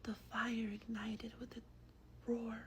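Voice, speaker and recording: A woman speaks in a breathy, close-up whisper with a faint noise behind her. Her delivery is very slow, hushed and gentle, in the manner of an ASMR vampire reading a book aloud. The voice sits a little on the high side. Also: monotone. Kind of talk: soft-spoken ASMR roleplay and book reading.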